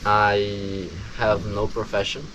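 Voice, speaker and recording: A Brazilian man in his twenties with a Brazilian Portuguese accent, talking casually to camera as a vlogger, halting and restarting often. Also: fairly low pitch, little pitch movement, fairly bright tone, a little hiss or hum, rough recording. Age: 20s. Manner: halting, with many restarts and pauses. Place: countryside home.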